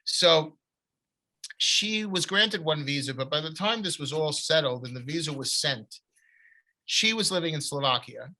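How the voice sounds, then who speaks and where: A middle-aged man with a bright, slightly high voice. He spins long, winding anecdotes full of restarts and asides, in an easy conversational way. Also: measured pace, low-quality recording. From United States. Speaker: author. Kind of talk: conversational storytelling.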